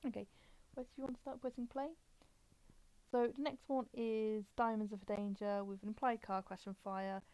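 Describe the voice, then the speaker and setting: A woman's voice: on the high side, steady tone, moderate pace, dark tone, dry recording, poor audio. A woman hosting a live stream with casual, rambling, explanatory commentary.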